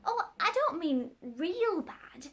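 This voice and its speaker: A woman's voice: very high voice, very animated, takes it slowly, fairly bright tone, pitched above the performer's own voice, exaggerated voice. Woman doing a rambling folksy tradesman. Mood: eager, wheedling. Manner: rambling, folksy, stumbles over words. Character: chatty working-class man, cat's meat seller.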